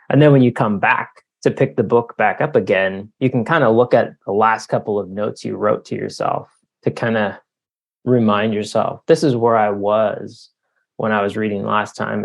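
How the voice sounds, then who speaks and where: A man's voice: fairly low pitch, fairly even intonation, normal speed, gravelly. A man from Canada answering interview questions in a conversational, explanatory way, thinking aloud with lots of "you know" and "like". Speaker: author being interviewed.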